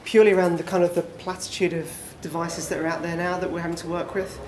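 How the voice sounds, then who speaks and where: A man leads a relaxed, chatty session in a small conference room, leaning on fillers as he talks. His delivery stays flat and even. The room is echoey with faint noise behind him. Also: on the high side, fast talker. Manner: relaxed, filler-heavy, conversational. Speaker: presenter. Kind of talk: conference talk.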